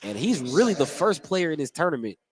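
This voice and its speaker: A man's voice: on the high side, very animated, fairly quick, crowd noise. A man doing live play-by-play radio commentary on a basketball game, describing the action in short, clipped phrases. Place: indoor school gymnasium during a game. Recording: noisy live gym, clicks and ball bounces. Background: loud game noise, bouncing basketball.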